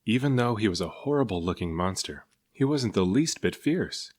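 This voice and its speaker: A man reading a children's story aloud as narrator, in an expressive manner. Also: fairly low pitch, moderate pace. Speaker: storybook reader.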